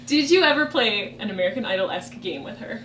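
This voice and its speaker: A woman's voice: mid-range voice, lively intonation, fast, a bit bright, slight background noise. Giggly woman interviewer, roomy sound. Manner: giggly, friendly, laughs often. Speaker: interviewer, newspaper editor. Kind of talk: casual interview questions. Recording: echoey room, distant mic.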